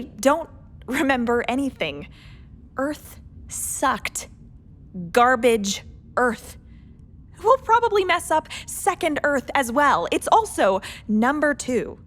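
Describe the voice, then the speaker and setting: A woman playing a cynical, jaded second pilot in a space radio play, with a sardonic, deadpan manner. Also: fairly high pitch, heavily acted.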